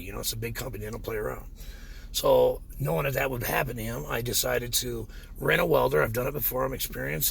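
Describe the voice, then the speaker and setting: Man, hoarse and very gravelly, frustrated contractor rambling to camera, faint noise behind. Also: mid-range voice, fairly bright tone. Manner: frustrated, rambling, many fillers. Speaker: pond contractor. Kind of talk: casual vlog rant.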